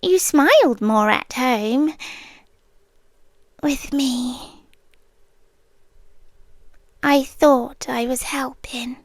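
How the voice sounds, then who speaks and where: A British woman voicing a possessive female guardian angel in an ASMR roleplay, half-whispering softly and coaxingly into a close microphone. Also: high voice, dry recording, full character voice. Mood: wounded, possessive. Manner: soft, intimate half-whispering; calm, coaxing and slow.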